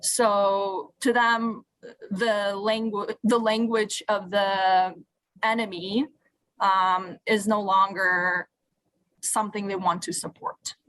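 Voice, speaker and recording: A woman from Ukraine, a native Russian speaker, speaking as an interview guest in a halting way, searching for words. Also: mid-range voice, flat delivery, fairly bright tone, no echo, rough recording. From Odessa, Ukraine; moved to Canada at eleven. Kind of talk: radio interview, personal account.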